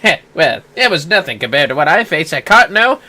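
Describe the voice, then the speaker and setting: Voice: mid-range voice, sing-song, fairly quick, bright, forceful tone, pitched below the performer's own voice. A performer voicing a boastful, cowardly young male would-be warrior with theatrical bluster, reading game dialogue aloud. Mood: boastful, bluffing.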